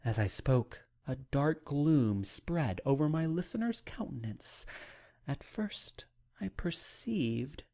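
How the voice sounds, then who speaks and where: A man reading a classic novel as a dramatic audiobook, with a solemn, deliberate, literary delivery. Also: on the high side, expressive, dark tone. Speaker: audiobook narrator.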